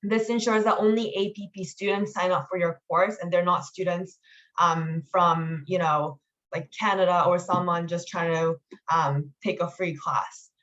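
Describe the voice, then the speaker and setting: A woman leading an online training meeting, explaining steps informally in a casual, halting way over lo-fi call audio. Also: on the low side, fairly even intonation, fairly bright tone. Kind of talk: informal instructional walkthrough with slides.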